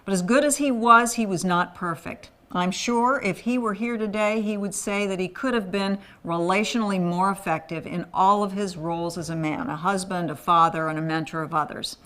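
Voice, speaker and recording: A woman's voice: mid-range voice, normal speed, hardly any pauses, fairly bright tone. A woman raised in Thailand, delivering an earnest scripted appeal for a men's ministry. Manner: earnest, pleading.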